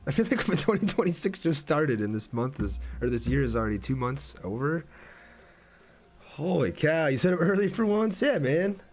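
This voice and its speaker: A man's voice: on the high side, sing-song, normal speed, soft background music. A man streaming a game, chatting casually and in a laid-back way with his viewers as he plays. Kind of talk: casual livestream chat over gameplay.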